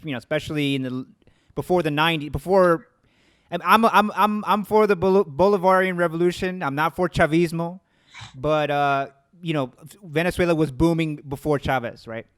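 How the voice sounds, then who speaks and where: A man hosting a casual podcast, chatty and quick to agree before adding his own point. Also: on the high side, a bit bright, clear, resonant tone, no echo, clean studio sound. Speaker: podcast host.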